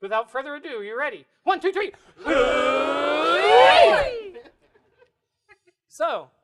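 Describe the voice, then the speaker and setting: Big-voiced man leading a lively evening. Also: very high-pitched, slow, very noisy. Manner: playful, laughs often, builds energy. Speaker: spiritual workshop presenter. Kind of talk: lively talk to a live audience. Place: bookstore event room.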